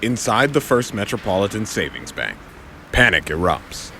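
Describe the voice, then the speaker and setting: A man with a fairly low voice narrates an audio drama. He is theatrical and wry, moving expressively up and down as he tells the story. Faint noise sits behind the voice. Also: very animated, moderate pace, pushed and bright.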